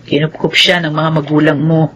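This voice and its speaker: A woman playing a calm, reasoning middle-aged mother in a Filipino radio drama. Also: deep voice, moderate pace, slightly rough. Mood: earnest.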